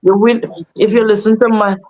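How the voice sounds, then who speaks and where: Husky-voiced woman guest, animated and laughing. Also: medium pitch, a little fast. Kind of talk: casual chat.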